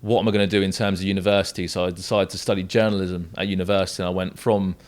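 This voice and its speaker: Deep-voiced young southern English man. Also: little pitch movement, rapid-fire. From south coast of England. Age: young adult. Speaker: esports football commentator and podcast guest. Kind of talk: casual podcast interview.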